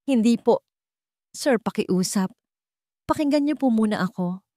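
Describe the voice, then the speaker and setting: A woman's voice: fairly high pitch, lively intonation, a little slow, mellow, no echo, clean studio sound, pitched above the performer's own voice. A woman voicing a desperate, humble young woman who pleads politely in a narrated story. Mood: pleading.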